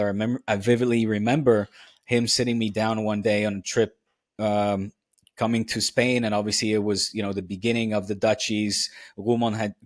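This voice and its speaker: A man's voice: fairly low pitch, no echo, clean studio sound. A man from the Netherlands, a former TV presenter, sharing memories as a podcast guest in an earnest, reflective way with frequent fillers. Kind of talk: casual podcast conversation.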